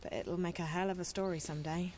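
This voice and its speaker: A woman's voice: lowish voice, fairly even intonation, fast talker, a little mellow, a little hiss or hum, natural voice. A woman voicing an earnest, determined young woman in a game, sincere and a little hesitant. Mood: weary, determined. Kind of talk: naturalistic game voice acting.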